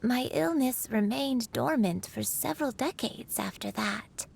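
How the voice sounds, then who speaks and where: A slow, gravelly elderly woman. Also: a little high, a little mellow, full character voice. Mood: wistful. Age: older. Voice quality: slow, halting, wistful delivery. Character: frail elderly woman recalling a long illness. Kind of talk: video game voice acting.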